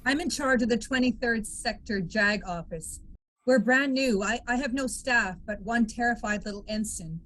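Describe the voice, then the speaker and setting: Combative female military lawyer, read by a woman, brisk and teasing, slightly high pitch, amateur sci-fi drama. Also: fairly bright tone, low-quality recording. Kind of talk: amateur table read of TV script.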